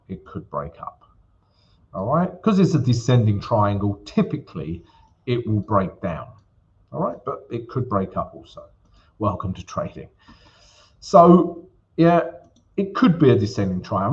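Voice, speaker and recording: A man hosting a live trading stream, chatty and casual, checking in with the audience as he walks through charts. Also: mid-range voice. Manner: chatty, peppers lines with "yeah?" and "guys". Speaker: forex livestream host. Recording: slightly dull livestream audio.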